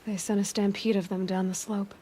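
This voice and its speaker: A woman's voice: medium pitch, level tone, a little fast, mellow, a little hiss or hum, lightly acted. A woman as a determined young game huntress, earnest. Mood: hushed, musing. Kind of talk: video game voice acting.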